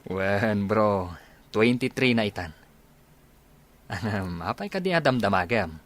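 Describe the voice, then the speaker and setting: A man's voice: on the low side, expressive, unhurried. A man playing a brotherly, teasing soldier in his twenties in casual Ilocano audio drama dialogue. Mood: teasing, friendly.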